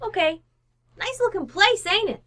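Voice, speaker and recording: Brash working-class housekeeper for radio comedy, played by a woman: sharp, swooping delivery, blunt, slangy, unbothered. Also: very high-pitched, lively intonation, moderate pace, exaggerated voice. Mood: brassy, complaining. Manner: blunt, slangy speech with "ain't" and "gotta". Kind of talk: old-time radio sitcom acting.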